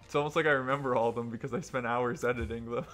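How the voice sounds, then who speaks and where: A man's voice: medium pitch, steady tone, very fast, a bit bright, soft background music. A man hosting casual game commentary with joking, teasing banter. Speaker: gaming show host.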